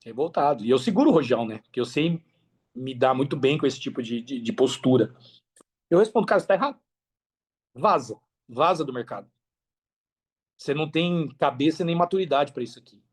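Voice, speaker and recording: A man's voice: mid-range voice, brisk, long pauses, slightly rough. A Brazilian man chatting casually as a podcast guest, speaking emphatically, repeating phrases and using plenty of slang. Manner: emphatic, repeats phrases, slangy, animated storytelling with acted-out quotes. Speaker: podcast guest, affiliate marketer.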